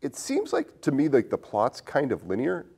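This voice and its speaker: A man's voice: mid-range voice, wildly swooping pitch, moderate pace, gravelly, a little mellow. A man hosting a podcast in a conversational, analytical way, posing questions as he discusses film. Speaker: podcast host.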